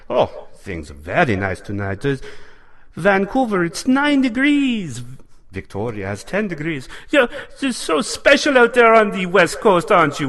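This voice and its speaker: A man's voice: medium pitch, a bit bright. A man voicing a bumbling male weatherman doctor with a put-on German-sounding accent, stammering and overly formal. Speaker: comic weatherman.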